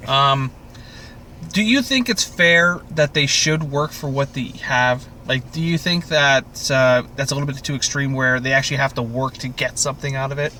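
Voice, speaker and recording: A man's voice: mid-range voice, moderate pace, pushed and bright. A man co-hosting a podcast, joking and rambling through casual, sweary TV recap chat. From Canada. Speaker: podcast co-host. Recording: clear background noise.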